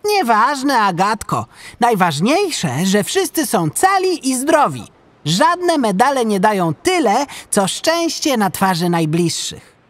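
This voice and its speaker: A woman playing a gentle young male humpback whale in a children's audiobook, earnest and reassuring. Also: a little high, very animated, slow pace, no echo. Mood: warm, earnest. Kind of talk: children's audiobook character acting.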